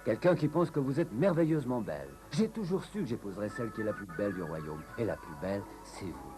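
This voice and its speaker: A man's voice: lowish voice, very animated, fast, music in the background. A man voicing a courteous young prince in love, earnest and theatrical, in a cartoon. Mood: romantic. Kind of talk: French cartoon dub.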